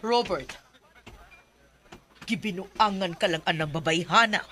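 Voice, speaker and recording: A woman's voice: lowish voice, unhurried, sharp tone, a little hiss or hum. A woman voicing a domineering, disapproving mother in a radio drama, scolding her son. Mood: contemptuous. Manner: scornful, scolding.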